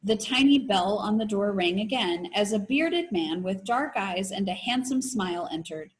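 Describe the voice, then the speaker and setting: A woman reading a children's novel aloud like a teacher, in an expressive, animated storytelling manner. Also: medium pitch, normal speed. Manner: animated storytelling with voices for characters.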